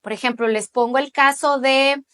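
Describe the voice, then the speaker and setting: Higher-pitched Mexican woman explaining in an interview. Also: steady tone, moderate pace, fairly bright tone. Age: late 30s. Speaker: interviewee, judicial candidate.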